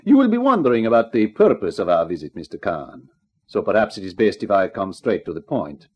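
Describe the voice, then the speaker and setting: A man's voice: lowish voice, very animated, a little fast, breathy edge. An actor playing a formal, calculating foreign man in a 1950s radio drama. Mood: polite. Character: smooth, formal foreign schemer, middle-aged man. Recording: dull 1950s radio recording.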